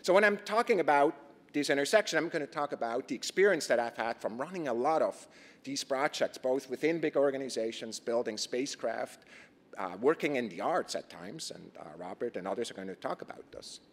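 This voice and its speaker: A man with a Swiss accent giving an earnest conference talk, posing rhetorical questions and pausing on fillers. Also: medium pitch, lively intonation. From Switzerland. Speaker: conference presenter. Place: conference stage. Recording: reverberant lecture hall.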